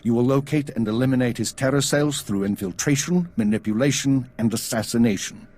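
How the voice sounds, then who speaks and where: An actor playing a stern intelligence chief in a video game, with a husky voice and a clipped, authoritative, measured delivery. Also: male voice, mid-range voice, darkish tone, natural voice. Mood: grave, commanding. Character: stern male spymaster, commanding and coolly measured.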